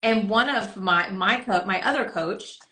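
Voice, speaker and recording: A woman's voice: medium pitch, a little slow, a bit bright, slight background noise. A woman coach hosting a casual live stream chat on a desktop podcast microphone, talking in a rambling way with many fillers. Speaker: host and coach.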